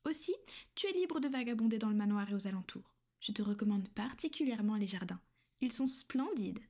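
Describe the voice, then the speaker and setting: Woman playing a soft-whispering vampire mistress. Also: mid-range voice, lively intonation, brisk. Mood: teasing. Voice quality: soft, half-whispered and intimate. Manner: soothing, coaxing and possessive. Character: gentle, reassuring female vampire mistress. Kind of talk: ASMR roleplay.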